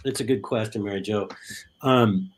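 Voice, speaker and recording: Man with a slightly croaky cold voice. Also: on the low side, a little hiss or hum. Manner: casual, halting, with fillers and repeats. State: a bit hoarse from a cold. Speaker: utility company public affairs guest. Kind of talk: guest interview.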